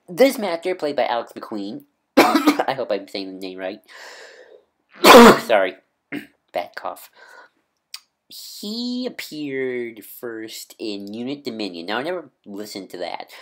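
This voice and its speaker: A man talking casually as a video host, rambling and often correcting himself mid-sentence. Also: deep voice. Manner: rambling, many restarts, self-correcting. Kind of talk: casual rambling fan commentary.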